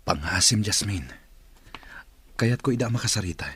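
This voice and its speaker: An actor playing an earnest, insistent boss in an Ilocano radio drama, firm and deliberate, with a husky voice. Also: male voice, on the low side, expressive, fairly bright tone, slight background noise. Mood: earnest, coaxing. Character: earnest, insistent male boss, commanding yet courting.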